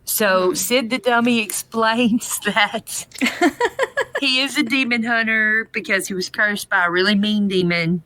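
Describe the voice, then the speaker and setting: A woman's voice: mid-range voice, steady tone, unhurried, pushed and bright, dry recording. A woman co-hosting a podcast, chatting casually with dry amusement as she recaps a TV episode. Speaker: podcast co-host.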